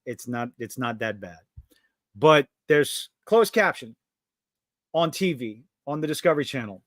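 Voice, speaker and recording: A man, hosting a casual livestream chat and telling stories with lively gestures in his voice. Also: mid-range voice, measured pace, no room sound, studio quality. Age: 50s. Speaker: podcast host.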